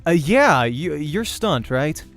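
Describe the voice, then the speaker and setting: A man's voice: fairly high pitch, big pitch swings, slow, faint music behind, exaggerated voice. A man voicing an uneasy but determined young gamer, the hesitant protagonist of a visual novel. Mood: nervous.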